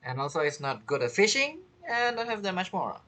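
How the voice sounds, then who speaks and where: Animated gamer with halting phrasing. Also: mid-range voice. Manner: non-native, halting English phrasing. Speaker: video game streamer. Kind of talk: casual gameplay commentary. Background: game music.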